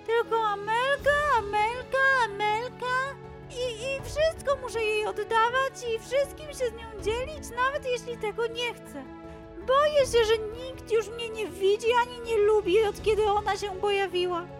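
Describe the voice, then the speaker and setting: A woman voicing an energetic, jealous little girl monkey in a children's storybook, with a whiny, emphatic, childlike delivery. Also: extremely high pitch, measured pace, music in the background, pitched above the performer's own voice. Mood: hurt, jealous.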